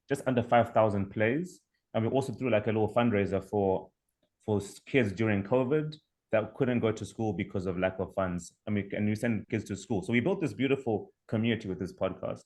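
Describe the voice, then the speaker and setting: A young man from Zimbabwe with a Zimbabwean accent, presenting his startup over a video call in a measured, explanatory way. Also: on the low side, a little mellow. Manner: measured, explanatory, with fillers and restarts. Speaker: startup founder pitching. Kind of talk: online pitch presentation.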